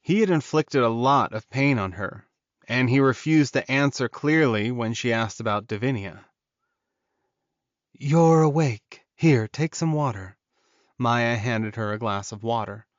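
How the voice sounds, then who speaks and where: A man's voice: mid-range voice, moderate pace, no room sound, dull audio. A man reading scripted fantasy romance as an audiobook narrator.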